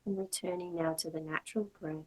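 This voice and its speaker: Low, husky woman guiding meditation. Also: steady tone, mellow, poor audio. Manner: slow, measured, with long pauses between short phrases. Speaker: yoga teacher. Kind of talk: guided meditation instruction.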